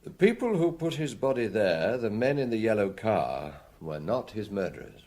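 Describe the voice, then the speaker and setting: A shrewd police detective in a British radio crime drama. His pitch swings freely as he explains things with deliberate, self-assured authority. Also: big pitch swings, mellow. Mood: confident, probing.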